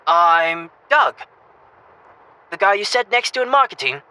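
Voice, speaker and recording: A man's voice: high-pitched, very animated, a little slow, sharp tone, full character voice. A young man playing a cocky, boisterous student wingman in an audio drama, brash, teasing and pushy. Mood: hailing, chummy.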